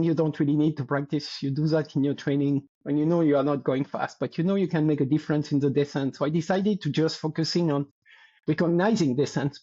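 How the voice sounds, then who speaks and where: A man's voice: fairly high pitch, dark tone. Muffled French-accented man around sixty. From France. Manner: halting, searching for words, laughing lightly. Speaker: guest athlete. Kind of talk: casual interview talk.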